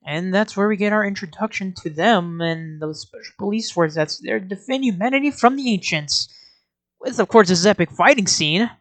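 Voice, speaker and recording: A woman's voice: medium pitch, moderate pace, no room sound. A woman giving scripted video commentary as an anime reviewer, in an informal, sarcastic way.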